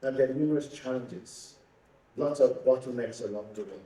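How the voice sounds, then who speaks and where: Male panel moderator, hesitant delivery, dark tone, heavy hall reverb from a distant mic. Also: mid-range voice, normal speed. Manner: hesitant, with fillers.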